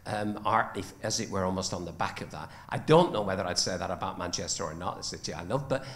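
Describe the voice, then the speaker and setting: A man's voice: sits low, quick pace. An older man from the north of England speaking from the audience seats of a lecture theatre on a handheld mic, rambling with many restarts. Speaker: audience member. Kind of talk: audience question. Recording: echoey lecture hall.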